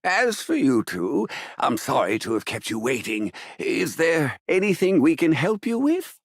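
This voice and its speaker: A man playing a brash, teasing, world-weary funeral agency boss in an animated game, with a gravelly voice and a theatrical manner. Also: a little high, measured pace, no room sound, studio quality, exaggerated voice. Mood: surprised, courteous.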